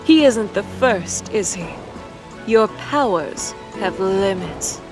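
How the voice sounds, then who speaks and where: A woman playing an elegant, cold draconic warrior in an audio drama, composed, measured and calmly menacing. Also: mid-range voice, music in the background. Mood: cold, probing.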